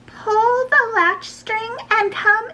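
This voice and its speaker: A woman voicing a frail, kindly old grandmother in a storybook, calling out in a drawn-out quaver. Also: very high-pitched, steady tone, sharp tone, pitched above the performer's own voice, full character voice.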